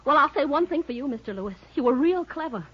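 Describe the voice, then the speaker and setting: A tormented, jealous wife in an old radio melodrama. Her voice sits high, and she turns between accusation and pleading. The recording is muffled and aged. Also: lively intonation, fast. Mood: bitter, suspicious. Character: jealous, tormented wife of a dance-band pianist. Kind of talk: 1950s radio drama acting.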